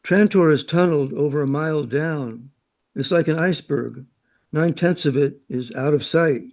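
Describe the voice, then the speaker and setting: A man's voice: fairly high pitch, moderate pace, darkish tone, lightly acted. A man acting in a radio drama as a chatty, knowing, worldly man with a breezy, opinionated way of talking.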